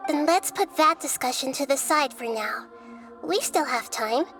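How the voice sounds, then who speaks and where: A woman voicing a gentle, wise, childlike goddess in a video game, speaking in a measured, earnest way. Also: high voice, animated, faint music behind, exaggerated voice. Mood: composed. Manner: measured, soft-spoken, earnest. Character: gentle, wise child-like female deity, calm and composed. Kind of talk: video game character acting.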